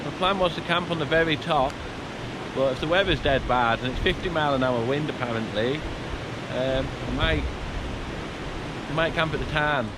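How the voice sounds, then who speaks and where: A man's voice: on the high side, moderate pace, heavy background noise. A man, chesty after an illness, chatting and thinking aloud as he hikes up a mountain path. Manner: chatty, thinking aloud, coughs now and then. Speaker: hiker vlogger. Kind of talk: casual outdoor vlog narration.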